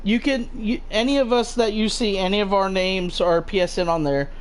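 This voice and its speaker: A man's voice: high-pitched, moderate pace, smooth, rough recording. A man with a mild Southern US accent chatting casually about a game as host, rambling with many fillers and restarts. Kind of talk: casual gaming chat.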